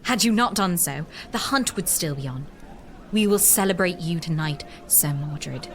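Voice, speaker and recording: Gracious queen, lowish voice, assured, solicitous, slight background noise. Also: animated, moderate pace. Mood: reassuring. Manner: courtly, solicitous. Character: gracious, assured queen, tender toward a young knight. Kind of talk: audio drama acting.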